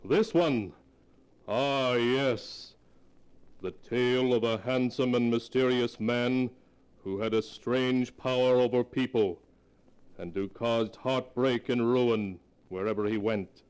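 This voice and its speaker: A man's voice: mid-range voice, takes it slowly, darkish tone, clean tone. A man narrating as an announcer in theatrical 1940s radio melodrama style, solemn and ornate, on an old recording.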